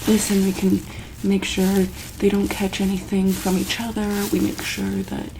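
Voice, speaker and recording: A woman speaking softly in an ASMR medical roleplay as a calm, caring doctor, halting often with pauses and ums. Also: mid-range voice, gravelly, slight background noise, rough recording. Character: calm, caring female monster-hospital doctor.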